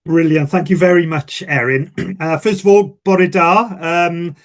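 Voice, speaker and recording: A man's voice: on the high side, fairly even intonation, unhurried. A man from Wales with a Welsh accent, a communications professional giving an informal first-hand account in a reflective way, repeating and correcting himself. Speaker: communications director giving a testimonial.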